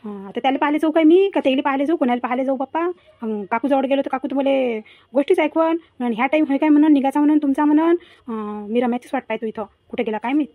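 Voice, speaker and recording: A woman's voice: high-pitched, racing, hardly any pauses, no echo, narrow, tinny audio, lightly acted. A woman voicing a mischievous, boastful village boy in a cartoon comedy, chattering and teasing in a Varhadi Marathi accent. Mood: muttering worry.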